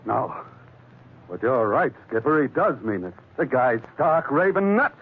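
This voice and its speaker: A man's voice: medium pitch, sing-song, unhurried. A tinny, narrow 1940s radio recording of a rugged sailor. He is a plain-spoken ship's mate, played in the style of an old adventure serial. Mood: blunt, dismissive.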